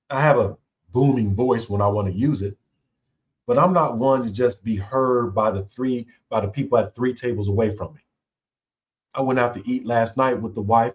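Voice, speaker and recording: A male host talks through workplace conflict in a relaxed, conversational way, tagging his points with "right?". He speaks slowly, in a mid-range voice. The recording sounds a little dull and muffled. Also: mid-range voice. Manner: conversational, repeats "right?" often. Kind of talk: casual talk on leadership.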